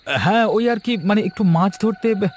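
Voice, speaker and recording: A man's voice: high voice, expressive, normal speed, a little hiss or hum, pitched above the performer's own voice. A man voicing a confident, eager young city man in a cartoon story. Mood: curious.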